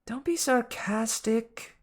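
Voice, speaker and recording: Secretary-like female snake for a storybook, put on by a reader: chiding, drawn-out, pitched up. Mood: chiding, bickering. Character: scolding, bickering female talking snake, secretary-like.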